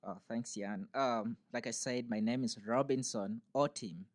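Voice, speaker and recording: A man's voice: mid-range voice, measured pace. Cheerful man explaining. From Uganda. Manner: cheerful, explanatory, laughs easily. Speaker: interview guest. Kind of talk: casual interview conversation. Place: university podcast studio. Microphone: podcast studio mic.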